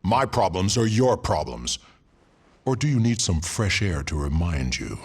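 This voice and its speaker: An actor playing a menacing, hot-headed gang leader in a video game, blunt and threatening. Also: male voice, low voice, very animated, measured pace, husky, full character voice. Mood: angry, threatening. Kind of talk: video game cutscene acting.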